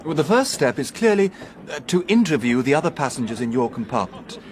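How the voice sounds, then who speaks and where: An actor playing a measured, sceptical professor, formal and precise, in a radio drama. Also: male voice, a little high, big pitch swings. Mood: considered. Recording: clear train noise behind.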